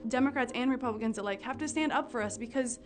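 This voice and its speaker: A woman's voice: sits high, quick pace. A woman in her twenties from Mexico, giving an earnest, heartfelt testimony to camera in a documentary interview. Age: 20s. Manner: earnest and heartfelt, with small restarts. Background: soft music.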